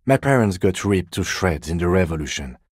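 A man playing a composed, dry-witted police lieutenant in a video game, speaking with measured, formal, deadpan courtesy. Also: sits low, slightly rough, no echo, heavily acted. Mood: matter-of-fact. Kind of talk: video game character dialogue.

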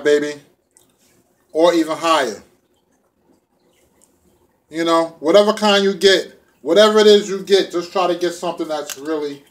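A middle-aged man with a slightly high voice chats casually to a camera propped nearby in a room at home. He is laid-back and playful, speaking in loose everyday slang as a food vlogger. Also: a bit bright. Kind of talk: casual chat to camera while eating. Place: small room indoors at home. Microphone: phone or camera propped within arm's reach.

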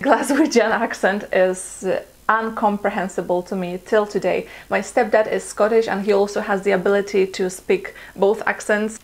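A Polish woman in her twenties with a Polish accent, answering viewer questions in a casual vlog from her living room, chatty and laughing often, with plenty of ums and uhs. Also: mid-range voice. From Poland. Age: 20s. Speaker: vlogger.

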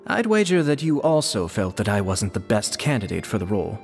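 An actor voicing a courteous, composed young nobleman in a video game, speaking in a formal, measured way. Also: fairly low pitch, sing-song, vocal fry, long reverb tail, soft background music. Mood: polite, calm.

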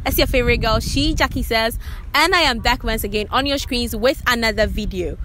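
A woman's voice: a little high, fairly quick, a bit bright. Young woman, Ghanaian English accent, bubbly and teasing, handheld mic outdoors, noisy background. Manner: bubbly, teasing, laughs often. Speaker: vlog host and street interviewer. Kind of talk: casual street interview. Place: outdoor university sports court. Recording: outdoor noise behind.

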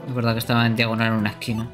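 A man's voice: medium pitch, completely flat delivery, very fast. A young man giving casual live gameplay commentary as a streamer. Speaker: game streamer. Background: loud game music.

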